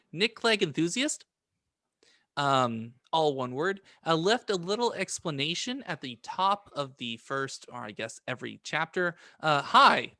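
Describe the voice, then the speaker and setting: A man's voice: medium pitch, sharp tone, dry recording, studio quality. A man co-hosting a podcast, chatting casually and sometimes reading notes aloud. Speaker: podcast co-host.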